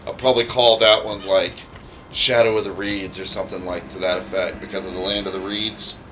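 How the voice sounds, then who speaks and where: A man's voice: deep voice, little pitch movement, a little fast, background noise. A man giving casual, rambling livestream gaming commentary, with loose, informal and sweary speech. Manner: profane, informal, rambling asides. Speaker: game streamer and modder. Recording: music under the voice. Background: music.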